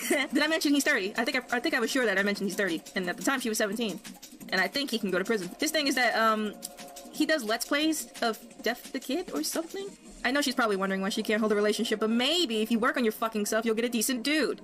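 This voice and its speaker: A woman's voice: a little high, animated, quick pace, a bit bright. A woman commentator gossiping casually in a sarcastic, snide manner. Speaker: online video commentator. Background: background music.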